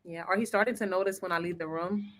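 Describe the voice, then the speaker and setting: A woman chatting casually and playfully as co-host of a family farm vlog, a naturally fast talker. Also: lowish voice, fairly even intonation, slight background noise.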